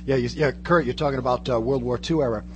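A man's voice: mid-range voice, quick pace. A man chatting casually as a guest co-host, with hesitant, stumbling starts. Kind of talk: casual chat. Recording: clear background noise and hum.

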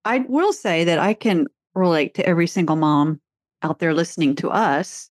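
A woman's voice: on the low side, animated, moderate pace, dry recording, clean studio sound. A middle-aged woman, a therapist and author, chatting casually and telling stories with easy laughs. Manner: chatty storyteller, laughs easily. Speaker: podcast host, therapist and author. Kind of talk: casual conversation.

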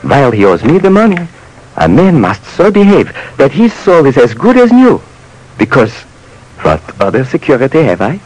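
An actor playing a poor, earnest old pawnbroker in a vintage radio drama, speaking in halting, philosophical phrases. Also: male voice, mid-range voice, huge pitch swings, darkish tone. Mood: earnest, pleading. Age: older. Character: poor old pawnbroker who lends money on souls.

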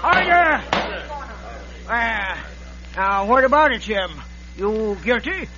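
An actor playing a blustery, folksy, crooked older judge in an Old radio drama, with a grumbling manner. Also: male voice, very high voice, sing-song, slow, over a noisy crowd, heavily acted. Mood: grudging, exasperated. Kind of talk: 1940s-50s radio western acting. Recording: dull, noisy old radio recording.

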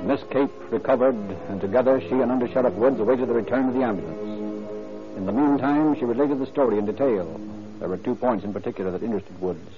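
A man's voice: medium pitch, brisk, close to an everyday voice. A man playing a solemn, formal narrator of an old-time radio crime drama, with dramatic scripted delivery. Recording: dull old radio recording. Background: music.

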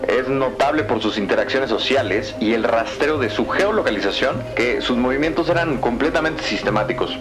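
A man playing a cautious, analytical police data analyst in an audio drama, explaining things deliberately. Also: mid-range voice, music in the background, lightly acted.

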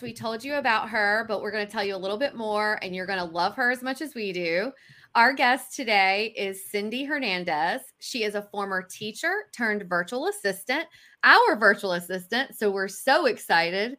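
Woman, podcast co-host, bright voice, enthusiastic, chatty, medium pitch. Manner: enthusiastic, chatty, laughs often. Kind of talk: casual podcast chat. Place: home studio, video call.

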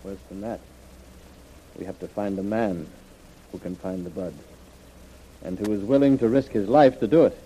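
Guy doing 1930s radio official, low n really dark voice, grave and formal, muffled old recording. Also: normal speed, long pauses, a little hiss or hum, close to an everyday voice. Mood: worried, insistent. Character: solemn, anxious government official planning dangerous secret mission. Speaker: radio actor.

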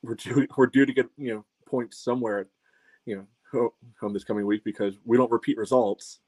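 A man's voice: medium pitch, steady tone, brisk, a little mellow, dry recording, low-quality recording. A man co-hosting a soccer fan podcast, chatting casually in a rambling way with many "you knows". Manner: rambling, peppered with "you know". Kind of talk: casual sports talk.

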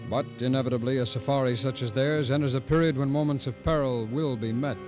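A man giving measured, solemn scripted narration for an old radio drama. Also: medium pitch. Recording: dull vintage radio recording. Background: music.

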